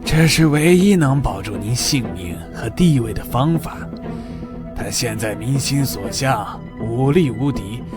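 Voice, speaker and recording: An actor voicing a coolly analytical adviser in a motion-comic drama, blunt and measured. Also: male voice, mid-range voice, animated, music in the background, natural voice. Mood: cool, warning.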